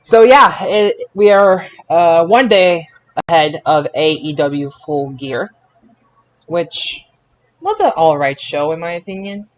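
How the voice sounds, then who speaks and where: A man hosting a casual podcast results recap, haltingly, often repeating words as he recalls details. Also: a little high, poor audio. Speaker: podcast host.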